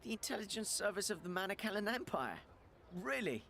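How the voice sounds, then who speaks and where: A man's voice: high-pitched, very animated, fairly quick, gravelly, sharp tone, a little hiss or hum, heavily acted. A man playing an inquisitive, skeptical adventurer in a fantasy game, pointed and dryly witty. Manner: questioning, dry, pointed. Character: skeptical, inquisitive male adventurer with dry wit.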